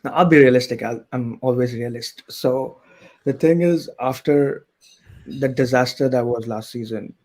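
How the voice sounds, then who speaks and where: A man calling in to a talk show, talking in a measured way and thinking aloud. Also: mid-range voice, darkish tone. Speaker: caller. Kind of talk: casual call-in chat.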